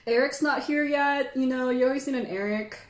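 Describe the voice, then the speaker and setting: A woman's voice: sits high, brisk, reverberant. A woman hosting a casual livestream, chatting in a sentimental, giggly, informal way. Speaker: livestream host and tea teacher.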